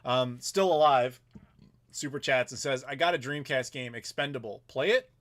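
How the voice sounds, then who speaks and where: A man's voice: mid-range voice, normal speed, fairly bright tone. Chatty man in his thirties. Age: 30s. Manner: rambling, chatty, reads viewer messages aloud. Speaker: YouTuber host. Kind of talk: casual live Q&A chat.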